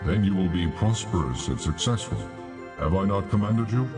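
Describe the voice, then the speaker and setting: An actor playing God in a dramatized scripture reading, solemn, measured and authoritative. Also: male voice, deep voice, expressive. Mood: solemn, commanding. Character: God, solemn commanding male divine voice. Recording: music under the voice.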